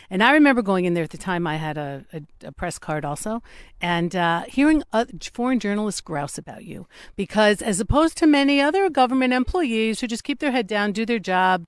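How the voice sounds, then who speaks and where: A woman hosting an interview show, chatty and animated, joking with her guest while sitting outdoors. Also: medium pitch, no echo. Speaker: talk show host. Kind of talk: casual interview. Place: outdoors on a platform.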